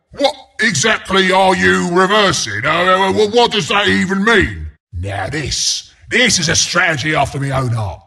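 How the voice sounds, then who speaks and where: A mean, cocky porcupine brawler announcing a video game in a British accent. The voice is gravelly and pitched high for a male, swinging widely up and down. He barks it out in a blunt, taunting, rowdy way, with a hard, forceful edge. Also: full character voice. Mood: scornful, gruff. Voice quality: gravelly, raspy. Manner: taunting, rowdy, blunt, mock-sympathetic asides.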